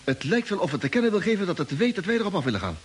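An actor playing an eager, excitable young crewman in a science-fiction radio drama. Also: high voice, rapid-fire, slightly rough, full character voice. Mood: excited. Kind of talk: 1950s radio drama acting.

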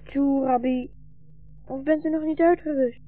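An eager, trusting young boy in a sixties radio drama. The voice is high and childlike, heard through a thin, phone-like vintage recording. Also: fairly even intonation, unhurried, no room sound. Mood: eager, pleading. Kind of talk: 1960s radio drama acting.

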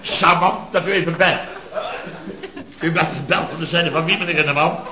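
A man acting an exasperated male boss in a vintage radio comedy. He grumbles and rants at length in a fairly high voice, thick with sarcasm. The old recording is dull and echoey, with an audience behind it. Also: moderate pace, a crowd in the background, lightly acted. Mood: incredulous. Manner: grumbling, sarcastic, long-winded ranting.

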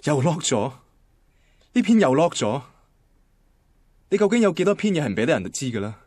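An actor playing an easygoing but insecure young boyfriend in a radio drama, speaking Hong Kong Cantonese. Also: male voice, on the high side, big pitch swings, a little fast, a little mellow, dry recording, clean studio sound. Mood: hurt. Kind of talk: radio drama acting and first-person narration.

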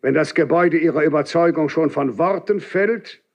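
An actor playing an aged, unyielding Grand Inquisitor in a classical German radio drama, speaking in a severe, measured, declamatory and reproachful way. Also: male voice, a little high, creaky. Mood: scornful. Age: older. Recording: dull 1970s radio drama recording.

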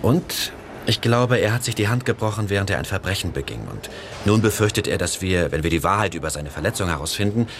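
A man's voice: deep voice, animated, a little fast. A man playing an anxious policeman who coaxes and hesitates, in a German radio drama. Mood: hesitant, probing. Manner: hesitant, coaxing. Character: anxious, wheedling policeman angling for information. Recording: clear background ambience noise.

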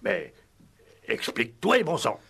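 A bumbling old rural policeman in a vintage radio play. The voice is husky and crackly, swinging widely in pitch, with a naive, familiar, bewildered way of talking. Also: wildly swooping pitch, unhurried, a bit bright, exaggerated voice. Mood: bewildered, curious. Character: slow-witted, over-familiar old village policeman, a man. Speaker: radio actor. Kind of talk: 1960s French radio play acting. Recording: vintage 1960s radio recording.

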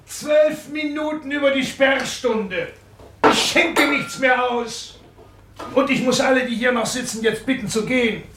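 An echoey, noisy old radio recording of a harried tavern keeper. His voice sits very high for a man, loud and insistent as he complains to his customers. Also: a little slow. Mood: harried, complaining. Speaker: radio drama actor. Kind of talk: German radio play acting.

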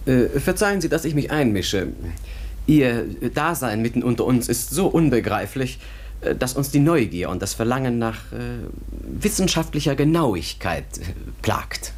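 A man playing a curious, pedantic scholar in a radio play, polite and hesitant, with a husky voice. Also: mid-range voice, sing-song, moderate pace. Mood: fussily curious. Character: pedantic, curious scholar demanding scientific precision.

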